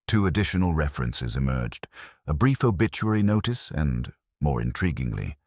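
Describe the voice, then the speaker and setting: A man reading scripted detective fiction as an audiobook narrator, in a measured, literary storytelling manner. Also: very deep voice, very animated, a little mellow, no echo, clean studio sound.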